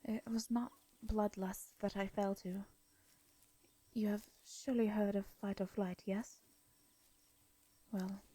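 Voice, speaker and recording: A woman with an English accent voicing a gentle, anxious, centuries-old vampire in an intimate fantasy audio roleplay, speaking softly and breathily, with hesitant, formal wording. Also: mid-range voice, breathy edge. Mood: uneasy, defensive. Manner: hesitant, stammering, formal old-fashioned speech.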